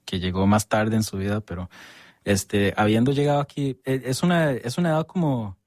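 A man's voice: on the low side, fairly even intonation, normal speed, a little mellow, no room sound, clean studio sound. A man hosting an interview, asking long, rambling questions full of fillers. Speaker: host. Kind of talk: casual interview.

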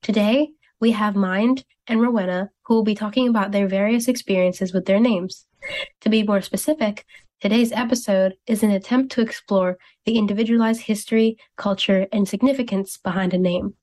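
A young woman from Thailand, hosting a student radio show. She reads a scripted intro, then asks questions casually with soft "um" fillers. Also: mid-range voice, steady tone, normal speed. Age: young adult. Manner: friendly, with soft "um" fillers when asking questions.

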